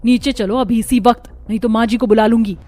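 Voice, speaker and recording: A woman voicing a caring, protective young daughter-in-law in a cartoon, earnest and motherly, gently scolding. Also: fairly high pitch, steady tone, a little fast, lightly acted. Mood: indignant, stern.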